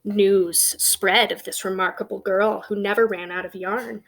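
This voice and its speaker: A woman reading a children's picture book aloud as an expressive storyteller, with emphatic pauses and conversational asides. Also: medium pitch, gravelly.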